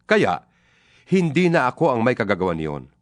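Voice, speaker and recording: A man's voice: mid-range voice, very animated, moderate pace. A man reads scripture as a dramatized narration, solemn and emphatic in an oratorical way. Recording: music behind the voice. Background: background music.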